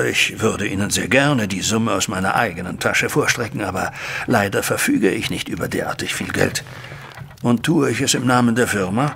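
Formal, anxious banker, creaky bright voice. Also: male voice, medium pitch, slight background noise. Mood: deferential. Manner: formal, wordy, deferential. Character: anxious, respectable male banker recounting his troubles. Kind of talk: audio drama acting, narrating a flashback.

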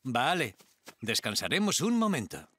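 Kind firefighter Sam. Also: male voice, medium pitch, unhurried, over music. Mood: encouraging. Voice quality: encouraging, calm. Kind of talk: dubbed children's cartoon.

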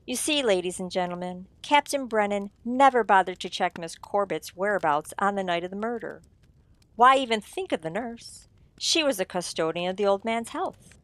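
A woman's voice: mid-range voice, animated, a bit bright, no room sound, natural voice. Theatrical, condescending sleuth played by a woman, close dry sound. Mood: smugly accusing. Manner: theatrical, condescending, deliberate explaining. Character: shrewd, theatrical writer-sleuth unmasking a murderer. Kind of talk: old-time radio mystery acting.

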